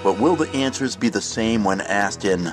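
A man playing the solemn, ominous narrator of an eerie anthology show, with measured, portentous delivery. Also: on the low side, expressive, natural voice. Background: music.

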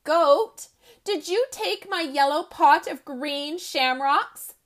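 A woman voicing an eager, fretful little male rabbit in a storybook reading, high and excitable. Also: takes it slowly. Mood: worried. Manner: excited, high and bright in his exclamations.

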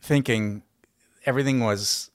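A man's voice: medium pitch, unhurried, no room sound, clean studio sound. A man co-hosting a podcast, asking questions in casual chat. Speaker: podcast co-host.

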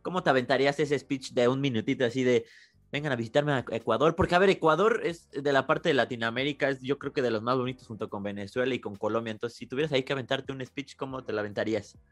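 A man's voice: mid-range voice, fast, faint music behind. A Mexican man with a Mexican accent hosting a podcast interview in an upbeat, informal way. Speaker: podcast host. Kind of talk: casual interview.

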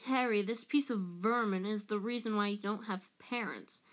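Woman playing a slow, furious, vengeful man. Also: mid-range voice, fairly even intonation, natural voice, rough recording. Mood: vengeful, snarling. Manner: slow, forceful, accusing delivery. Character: vengeful adult man, furious, bitter and accusing.

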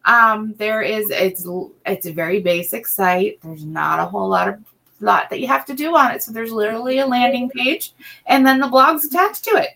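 A woman's voice: on the high side, normal speed, creaky, fairly bright tone. A middle-aged woman co-hosting a podcast, chatting in a rambling way with many restarts and ums. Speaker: co-host and assistant. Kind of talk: casual podcast chat.

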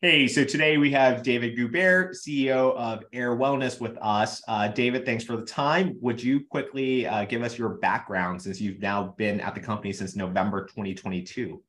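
A man's voice: mid-range voice, steady tone, normal speed, hardly any pauses, a bit bright. A man hosting a podcast interview, asking questions in a casual, conversational way. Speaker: podcast host.

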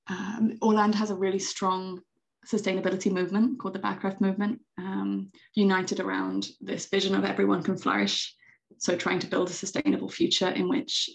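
Gravelly-voiced British young woman. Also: medium pitch, low-quality recording. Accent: British English. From Britain. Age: young adult. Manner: enthusiastic, chatty with many ums. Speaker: secretary general of a youth organization. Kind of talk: podcast interview answers.

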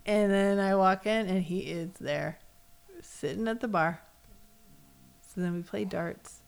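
Animated American woman with a creaky voice. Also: medium pitch, measured pace. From US West Coast. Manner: animated storyteller, acts out quoted remarks. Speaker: co-host. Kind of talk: casual chat, telling personal stories.

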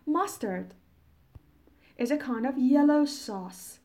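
Slow, high-voiced woman teacher, native Farsi speaker. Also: expressive, a little mellow. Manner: deliberate, explanatory. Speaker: English teacher. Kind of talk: vocabulary lesson with definitions and examples.

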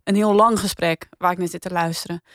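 A woman's voice: on the low side, fairly even intonation, fairly quick, dry recording, clean studio sound. A woman with a Netherlandic Dutch accent, hosting a podcast panel in casual discussion. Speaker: podcast review panel host.

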